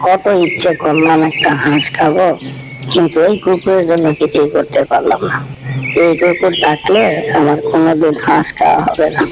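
A man's voice: on the high side, steady tone, normal speed, creaky, noisy background. A man voicing a sly, hungry, scheming male fox in a cartoon, wheedling and grumbling. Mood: frustrated. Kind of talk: cartoon voice acting.

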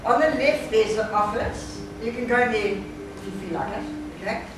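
A woman's voice: on the high side, normal speed, close to an everyday voice. A woman playing a helpful co-worker who guides a newcomer, in a stage play. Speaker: stage actor. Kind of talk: live amateur stage play dialogue. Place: stage of a theatre with audience. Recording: echoey theatre from far off, noisy.

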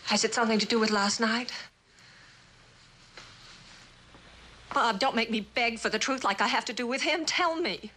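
An actress playing an unhappy, torn wife in a mid-century suspense drama, with a husky voice. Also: female voice, fairly high pitch, fairly quick, pushed and bright, a little hiss or hum. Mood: anxious, pleading.

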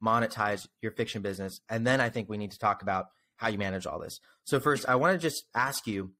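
A man's voice: on the low side, brisk, bright, forceful tone. A young man hosting a podcast in a conversational style, speaking earnestly and emphatically into a desktop microphone. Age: young adult. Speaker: podcast host. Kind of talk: conversational podcast introduction and interviewing. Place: small indoor room at home. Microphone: desktop microphone close up.

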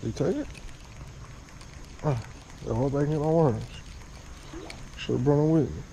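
Relaxed man muttering by a creek. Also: on the high side, moderate pace, slight background noise, low-quality recording. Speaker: fishing vlogger. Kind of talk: casual running commentary while fishing. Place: outdoors by a small creek under a bridge. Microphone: head-mounted action camera.

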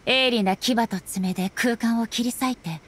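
A woman playing a solemn, dignified female ruler in an anime game, speaking formally and with measured politeness. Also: a little high, breathy edge. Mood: grave, somber. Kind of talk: anime game dialogue acting.

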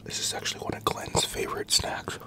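A man in his thirties, an ASMR creator, whispering a loose, profane eating chat into a desk mic inside a parked pickup truck. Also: fairly quick. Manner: whispering, loose and profane, chewing between remarks. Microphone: large desktop mic in the cab, GoPro camera.

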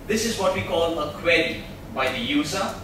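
Ein Mann hält am Rednerpult einen erklärenden Vortrag vor Publikum und stellt zwischendurch Fragen an die Zuhörer. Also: male voice, fairly high pitch, expressive, moderate pace. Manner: explanatory, asks the audience questions. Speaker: presenter at a tech meetup. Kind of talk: presentation talk. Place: large meeting room, speaking at a podium. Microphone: far camera mic. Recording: echoey room recorded from far off, noisy.

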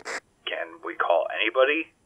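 A man's voice: on the low side, very animated, a little slow. Man playing a tinny intercom caller. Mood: concerned. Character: concerned male tour guest on car intercom. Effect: intercom radio filter. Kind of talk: audio drama dialogue. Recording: tinny narrow intercom sound.

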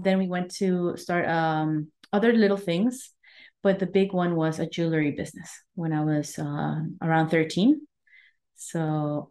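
A woman in her thirties from Mexico and Guatemala speaks as a podcast guest in a low, mellow voice. She talks earnestly and warmly. She often pauses with "um" while she finds the next word. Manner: warm, earnest, searching for words. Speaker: wellness coach, guest. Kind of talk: conversational interview.

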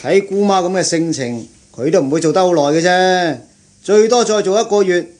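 A man's voice: sits high, brisk, a little mellow, close to an everyday voice. A man playing a scornful, defiant relative in a radio drama, grumbling and sneering. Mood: sullen, scheming. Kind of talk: Cantonese radio drama acting.

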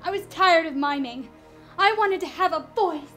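Brief: actress as a desperate ex-mime, very high pitch, rushed pleading delivery. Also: female voice, bright, forceful tone, faint music behind. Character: desperate young woman, former mime. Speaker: actor.

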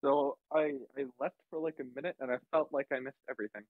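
A man's voice: mid-range voice, steady tone, quick pace. A man chatting casually as a player in an online roleplay over a voice call. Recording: dull, lo-fi voice call.

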